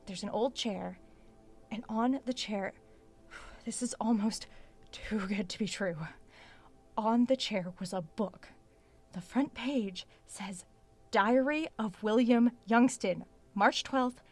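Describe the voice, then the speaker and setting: An actress playing an excitable, curious woman exploring a creepy basement in a horror audio drama, expressive, as if talking to herself. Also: a little high, slow. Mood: excited, narrating discovery. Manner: expressive, muttering to herself and exclaiming.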